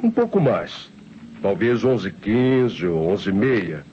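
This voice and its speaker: Nervous Brazilian lab assistant, swooping intonation. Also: male voice, lowish voice, very animated, slow pace, dark tone. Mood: uneasy explaining. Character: nervous, defensive male laboratory assistant. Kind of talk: old Brazilian radio drama acting. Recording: dull, noisy old radio recording.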